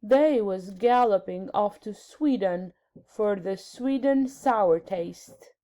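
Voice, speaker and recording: A woman's voice: mid-range voice, slow pace, dark tone, natural voice. A woman voicing a big friendly male storybook giant who talks in ungrammatical, jumbled words. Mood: pondering.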